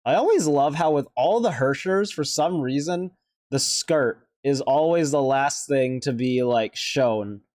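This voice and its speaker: A man's voice: mid-range voice, animated, a little slow, darkish tone. A man giving casual, off-the-cuff reaction commentary as a video host, rambling and hesitant as he thinks aloud.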